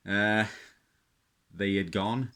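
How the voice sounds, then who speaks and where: A man's voice: fairly low pitch, drawn-out words, bright, forceful tone. A middle-aged man from Wales with a South Welsh accent, talking off the cuff in a hesitant, rambling, reminiscing way as he shows his hobby. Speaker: radio hobbyist presenter. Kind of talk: casual unscripted show-and-tell.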